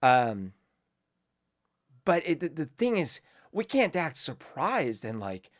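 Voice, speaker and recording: A man's voice: fairly high pitch, very animated, slow. A man who grew up in Colombia hosts his own show, giving reflective, rambling commentary full of fillers and restarts. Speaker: host. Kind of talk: casual commentary.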